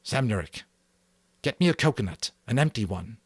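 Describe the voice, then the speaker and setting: A man voicing an eager, bossy boy who leads a band of hunters, talking in an urgent, excitable way. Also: mid-range voice, normal speed, slightly rough, no room sound.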